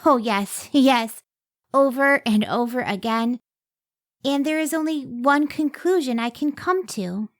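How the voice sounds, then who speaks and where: A woman's voice: high voice, measured pace, no room sound, clean studio sound. A woman playing an earnest, modest scientist and devoted wife in an old-time radio drama, careful and emotive. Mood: hesitant, awed.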